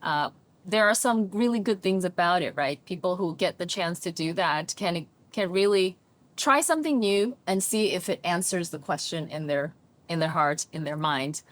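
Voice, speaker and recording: A woman's voice: fairly low pitch, normal speed. A middle-aged woman from China with a slightly American-leaning English accent, a therapist and coach speaking thoughtfully and animatedly as an interview guest. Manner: thoughtful, animated, often checks in with "right?". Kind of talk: reflective interview conversation.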